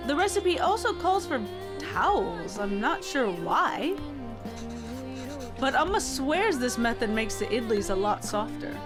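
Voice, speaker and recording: A woman's voice: on the high side, big pitch swings. A woman reading as a musing Indian mother. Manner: slow, musing read-aloud of game dialogue. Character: Indian immigrant mother cooking in a game. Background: soft game music.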